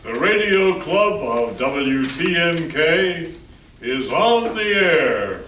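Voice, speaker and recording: A man's voice: a little high, big pitch swings, slow pace, music in the background. A man announcing and narrating a live old-time radio play in a formal, showman-like way. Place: live stage show in an echoey room. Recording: echoey distant hall recording with noise.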